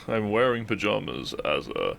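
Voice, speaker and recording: Casting: male actor as melancholy young best friend, gravelly, wry and halting, intimate roleplay audio with noticeable background ambience. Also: fairly low pitch, huge pitch swings, fairly bright tone, slight background noise, heavily acted. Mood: shy, teasing. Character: depressed young man, dry-witted, awkward, confessing to best friend.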